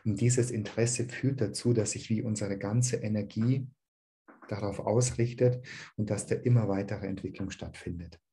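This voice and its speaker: A man teaching dharma to an online group, calm and searching, with a slight cold. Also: fairly low pitch, normal speed. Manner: calm, searching, with many "ähm" restarts.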